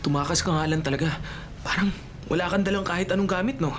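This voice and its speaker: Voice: medium pitch, fast talker, slight background noise, lightly acted. An actor playing a sly, boastful pickpocket buddy in a radio drama, casual and slangy. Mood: casual, concerned. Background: loud dramatic music.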